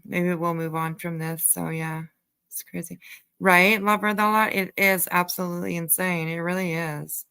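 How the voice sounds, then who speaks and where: A woman's voice: on the low side, sharp tone, clean tone. A woman hosting a livestream, chatting and commenting casually in a hesitant, rambling way. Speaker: livestream host.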